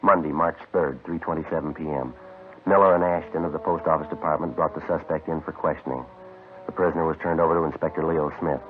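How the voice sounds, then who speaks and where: Deep, clipped, deadpan police narrator. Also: male voice, fast talker. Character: terse, deadpan male police sergeant narrating his case. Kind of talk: scripted 1950s radio drama narration. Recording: muffled vintage radio with music behind.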